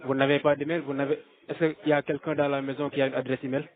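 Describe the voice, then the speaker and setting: A man's voice: medium pitch, little pitch movement, quick pace. A male telemarketer on a sales phone call, speaking in a polite, procedural way. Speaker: telemarketer booking energy renovation appointments. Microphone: telephone call. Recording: dull lo-fi phone call audio.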